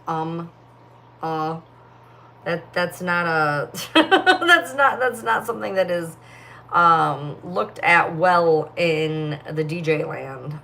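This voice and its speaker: A woman's voice: on the low side, lively intonation, slow pace, creaky, sharp tone, slight background noise. A young woman chatting casually on a phone livestream, sleepy and yawning, with a distinctive voice and lots of filler words. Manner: rambling, heavy filler words. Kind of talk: casual live-stream chat reading comments.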